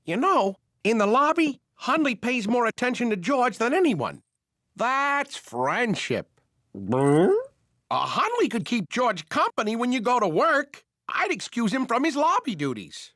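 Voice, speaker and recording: A woman playing an upbeat, helpful neighbour in a children's cartoon, friendly and expressive. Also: on the high side, a little slow, no room sound, studio quality, exaggerated voice.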